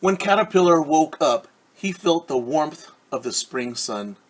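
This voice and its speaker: A man teaching young children through a story, reading aloud and explaining gently and patiently with questions. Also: a little high, animated, a little slow, rough recording.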